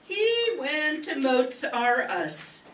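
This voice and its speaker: Woman as salesy neighbor, radio comedy spot, slow emphatic swooping delivery, echoey stage. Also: big pitch swings, a bit bright, exaggerated voice. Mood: enthusiastic, selling. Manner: emphatic, drawn-out pitchwoman delivery. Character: eager salesy female next-door neighbor. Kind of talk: comic radio-commercial acting. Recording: echoey stage, distant mic.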